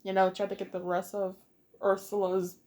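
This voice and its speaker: A woman's voice: mid-range voice, monotone, normal speed, darkish tone. A woman hosting a let's play, giving casual reaction commentary in short, hesitant asides.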